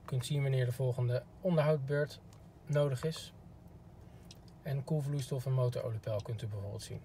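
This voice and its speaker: Man, mellow middle-pitched voice, polite explainer, informal product demo, parked car interior. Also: normal speed. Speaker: car dealership product expert. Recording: clear background noise.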